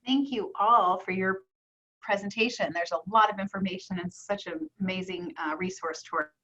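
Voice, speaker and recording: A woman's voice: mid-range voice, moderate pace, bright, forceful tone, muffled recording. Polite woman moderating an online event. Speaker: event host.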